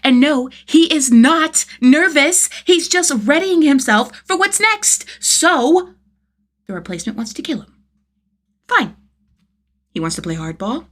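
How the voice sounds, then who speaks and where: Woman acting an explosive, indignant male vigilante, pitched up. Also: very animated, a little slow. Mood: defiant, riled. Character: furious, profane armored male vigilante fighter.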